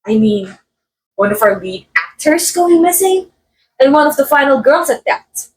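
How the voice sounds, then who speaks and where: A woman's voice: high voice, sing-song, a little slow, slight background noise, low-quality recording. A woman acting as a haughty, catty film actress in an audio roleplay, with a theatrical, sardonic and condescending delivery. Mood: breezy, teasing. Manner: theatrical, sardonic, drawling some words for emphasis. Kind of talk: ASMR roleplay monologue, voice acting.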